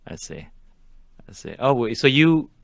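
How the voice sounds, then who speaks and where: A man's voice: mid-range voice, measured pace, creaky. A man co-hosting a casual podcast chat, teasing and quizzing his guest. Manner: teasing, lively interviewer. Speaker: podcast co-host.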